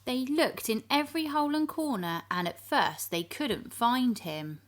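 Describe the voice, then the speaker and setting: A woman reading a storybook to young children in an animated, expressive manner, addressing her listeners directly. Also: high-pitched, unhurried, slight background noise.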